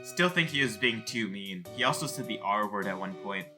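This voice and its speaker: A man's voice: lowish voice, expressive, normal speed, lightly acted. Man as earnest young fan, music behind. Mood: friendly. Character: earnest friendly geeky twin brother, young convention fan. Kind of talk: fan comic dub dialogue.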